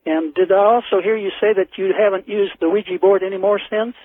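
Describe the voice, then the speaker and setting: A man with a markedly high voice calls in to a radio show. He speaks earnestly and deliberately over a thin, narrowband telephone line. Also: steady tone. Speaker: radio phone-in caller. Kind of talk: earnest call-in talk.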